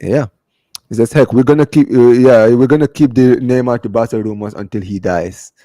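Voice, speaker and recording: A man's voice: mid-range voice, fairly quick, warm tone, no echo. Man hosting a casual, slangy football livestream. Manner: slangy, repeatedly addressing listeners as man, bro and guys; stumbling, repetitive delivery. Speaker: host of a live football fan show. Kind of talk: casual livestream chat, reading out viewer comments.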